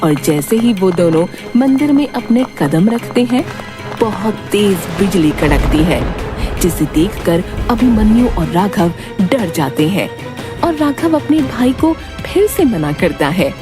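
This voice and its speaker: Unhurried, expressive woman narrating a tale. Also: mid-range voice. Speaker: story narrator. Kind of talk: dramatic scripted narration of a children's cartoon tale. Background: music.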